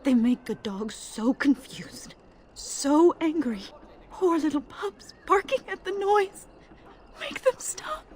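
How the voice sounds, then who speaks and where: A woman's voice: high-pitched, sing-song, takes it slowly, darkish tone, heavily acted. An actress playing a traumatized, fearful orphan woman who rambles disjointedly, drifting between muttering and wailing. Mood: fearful, mournful.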